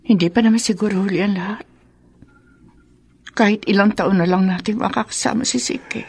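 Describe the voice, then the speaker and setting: A woman's voice: medium pitch, a little fast, gravelly, a little mellow, husky. A Filipino woman playing a worried, tender, remorseful middle-aged mother in a radio drama. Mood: remorseful, pleading.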